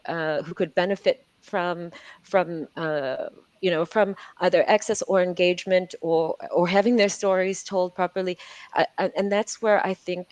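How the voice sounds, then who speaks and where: A woman's voice: fairly low pitch, a little mellow, no room sound. A woman speaking earnestly as a panelist, often repeating words as she builds her points. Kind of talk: panel discussion answer.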